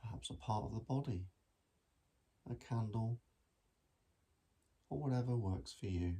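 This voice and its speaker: A man guiding a meditation in a gentle, measured manner with long pauses between phrases. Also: on the low side, slightly rough. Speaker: mindfulness meditation teacher. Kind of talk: guided body scan meditation.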